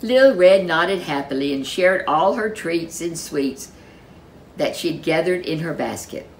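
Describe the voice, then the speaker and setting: Female storyteller, low pitch, slow unhurried pace, expressive children's story narration, music underneath. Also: slight background noise, low-quality recording. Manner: expressive, dramatic.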